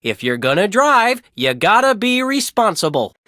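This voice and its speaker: A woman's voice: mid-range voice, very animated, normal speed, a bit bright, no room sound, studio quality. A folksy gas station man. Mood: folksy, bossy. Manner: drawling, folksy, repeats a goofy slogan. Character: gruff folksy male gas station owner. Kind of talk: video game voice acting.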